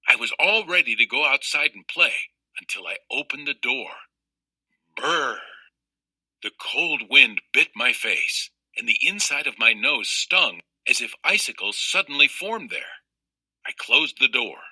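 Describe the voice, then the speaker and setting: A man reading a children's story aloud as narrator, with careful, expressive, explanatory delivery. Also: medium pitch, a little slow, breathy edge, dry recording, rough recording.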